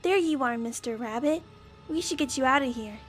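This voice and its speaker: A woman's voice: sits high, brisk, faint music behind, lightly acted. A woman voicing a timid, frightened little pony filly in a small, childlike voice, pleading and hesitant in a fantasy audio story. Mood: coaxing.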